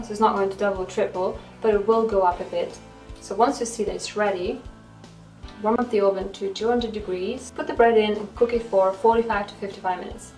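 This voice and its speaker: A woman, narrating a home recipe tutorial in a conversational way as the host. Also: medium pitch. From Lithuania. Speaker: home baker and video host. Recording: roomy, distant mic, music underneath. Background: music.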